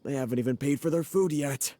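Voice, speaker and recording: A man's voice: medium pitch, brisk, warm tone, dry recording, close to an everyday voice. A man playing a timid, evasive restaurant owner in a video game, hesitant and anxious as he pleads. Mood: anxious, pleading.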